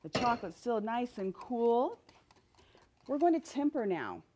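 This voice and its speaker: A woman's voice: on the high side, sing-song, measured pace, noisy background. A woman from Louisiana, a guest chef on a TV kitchen set, cheerfully explaining each step as she cooks. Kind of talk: cooking demonstration.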